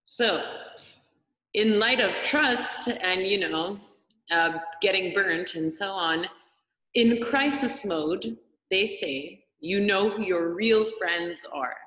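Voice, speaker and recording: Slow, bright-voiced woman interviewer in an echoey room. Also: medium pitch. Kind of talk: interview questions. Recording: echoey room with long reverb.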